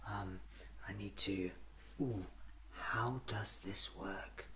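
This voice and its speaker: A man in his thirties doing an ASMR roleplay as a scatterbrained, excitable alien doctor, muttering to himself in fragments, often in a whisper. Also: lowish voice, very slow, slight background noise. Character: scatterbrained, excitable time-travelling alien doctor, newly regenerated. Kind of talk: ASMR roleplay acted as a monologue.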